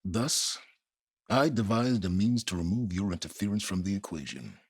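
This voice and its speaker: Casting: calculating older male scholar for a game; very raspy, low, pompous, condescending, expressive delivery. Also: no echo, clean studio sound. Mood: measured, superior. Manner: pompous, condescending, deliberate, formal wording. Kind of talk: video game dramatic acting.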